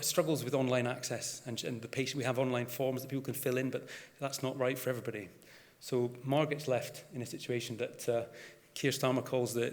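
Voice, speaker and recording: A Scottish man, a GP, giving a conversational conference talk with frequent restarts and fillers. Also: medium pitch. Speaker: GP and conference speaker. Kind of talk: conference presentation. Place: large conference hall. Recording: reverberant conference hall.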